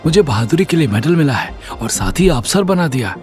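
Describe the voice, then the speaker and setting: A man's voice: on the high side, very animated, a little fast, darkish tone, soft background music. A man playing an earnest young army lieutenant in an audio drama, respectful and confident. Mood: happy, proud.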